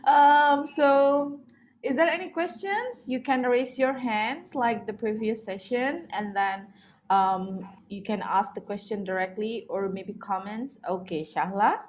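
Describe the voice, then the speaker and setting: Woman, non-native English speaker, Indonesian first language, session moderator, slow careful speech, polite and halting. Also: a little high. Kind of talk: hosting a Q and A.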